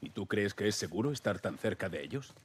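An actor with a Castilian Spanish accent playing a gruff, terse, distrustful middle-aged survivor in a video game dub. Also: male voice, on the low side, expressive, a little fast, slight background noise, natural voice. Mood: guarded.

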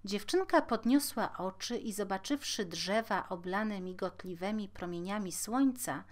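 A woman's voice: mid-range voice, measured pace. A woman reading a scripted fairy tale aloud as a storyteller.

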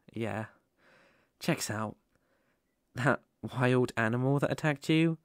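A British man playing a gentle, protective werewolf mentor in an ASMR roleplay, speaking softly, tenderly and reassuringly. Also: medium pitch, no echo, studio quality. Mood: calm, explaining. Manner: soothing, unhurried, tender.

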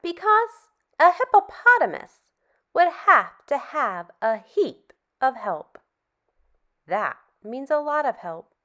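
A woman in her thirties hosting a read-aloud for preschoolers, with sing-song, exaggerated, animated enunciation. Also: sits high, takes it slowly, muffled recording. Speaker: storytime host for young children.

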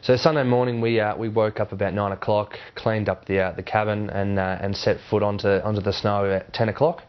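A man in his twenties with an Australian accent, chatting casually in an interview with halting restarts. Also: fairly low pitch, flat delivery. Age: 20s. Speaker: Australian rules footballer. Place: warm indoor lounge with a fireplace. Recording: slightly dull sound.

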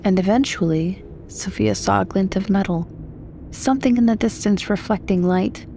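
A woman's voice: medium pitch, normal speed, vocal fry, mellow, slight background noise. A woman from the United States reading scripted horror fiction as a story narrator.

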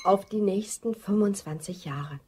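An actress playing a disgruntled office assistant in a German crime radio drama, dry and sardonic in manner. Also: female voice, mid-range voice, measured pace, warm tone, slight background noise, lightly acted. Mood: cool, polite.

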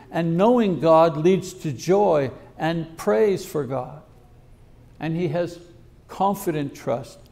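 Older man, preacher, gravelly, high-pitched, podium microphone, measured teaching delivery. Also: dark tone. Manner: measured teaching, reading scripture, acting out prayers. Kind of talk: sermon. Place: lecture hall at a podium.

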